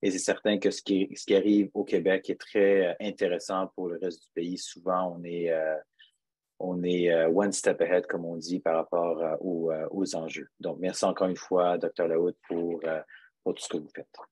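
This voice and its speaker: A man moderating a conference webinar, hesitant and filling pauses with "euh". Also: low-pitched, flat delivery, darkish tone, husky, no room sound. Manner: hesitant, many fillers. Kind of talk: hosting a webinar.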